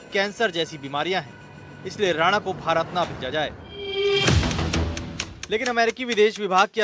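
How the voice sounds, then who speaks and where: A male news reporter delivers a scripted voice-over in a high-pitched voice. Music plays clearly behind the narration.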